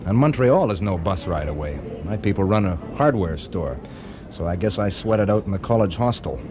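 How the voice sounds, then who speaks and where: Animated, wry young student. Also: male voice, sits low, brisk, crowd noise. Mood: teasing, breezy. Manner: teasing, breezy. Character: wry, friendly young male Canadian student. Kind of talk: radio drama dialogue. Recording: noisy busy background ambience.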